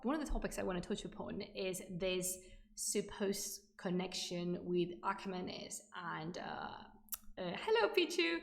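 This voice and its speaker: An echoey room surrounds a woman with a distinctly creaky voice. She presents history live to an online audience in an informal, chatty way. Her delivery is enthusiastic and digressive, often stepping aside from the lecture. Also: medium pitch. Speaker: livestream host presenting ancient history. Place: indoor room, livestream.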